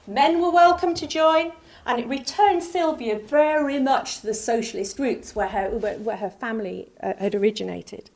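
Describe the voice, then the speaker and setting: A woman with a Northern English accent giving a public history lecture, animated, rising on key names and dropping low for asides. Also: normal speed. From Northern England. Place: lecture hall.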